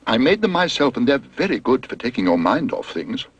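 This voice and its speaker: A man's voice: mid-range voice, sing-song, a little fast, slightly rough, no room sound, highs cut off. A man voicing a polite, earnest young male bear in a storybook reading, with prim, proper diction.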